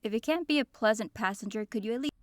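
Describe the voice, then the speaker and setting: A woman's voice: fairly high pitch, quick pace, no room sound, close to an everyday voice. Woman playing haughty princess, dry close sound. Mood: cool reproach. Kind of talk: scripted fantasy audio drama.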